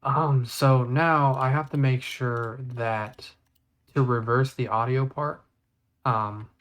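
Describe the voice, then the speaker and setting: A man's voice: medium pitch, steady tone, takes it slowly, a bit bright. Casual man giving a step-by-step tutorial. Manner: step-by-step, thinking aloud. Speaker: video editing tutorial presenter. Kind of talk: casual screen-recorded tutorial.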